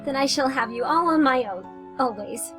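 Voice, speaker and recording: A woman's voice: high-pitched, moderate pace, over music, close to an everyday voice. A woman playing a devoted, tender young fiancee in a melodramatic old-time radio style. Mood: adoring.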